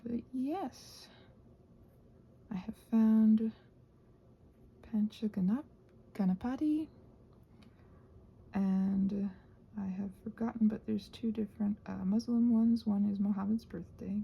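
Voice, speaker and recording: Soft husky woman with a very creaky voice. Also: medium pitch, takes it slowly, long pauses, dull audio. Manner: rambling, thinking aloud, trailing off mid-thought. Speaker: video host and ASMR creator. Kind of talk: casual rambling craft chat.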